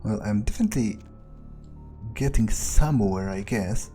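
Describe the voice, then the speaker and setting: A man playing a wary, crude, sardonic vampire in an improvised video game roleplay, muttering his thoughts with hesitant pauses. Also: lowish voice, mellow, faint music behind, close to an everyday voice. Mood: hesitant, pondering. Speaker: gamer playing in character.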